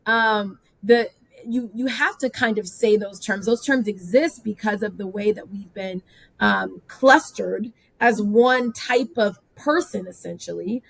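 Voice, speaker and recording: A woman's voice: mid-range voice, unhurried, creaky, husky, dry recording, rough recording. A young woman hosting a podcast, asking thoughtful, reflective questions in a casual conversational way. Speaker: podcast host.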